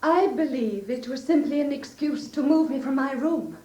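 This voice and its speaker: Anxious English gentlewoman, fairly high mellow voice, very expressive intonation, polite formal manner, vintage radio drama. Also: normal speed. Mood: earnest, uneasy. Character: well-bred, anxious, trusting young lady client. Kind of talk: classic British radio drama acting.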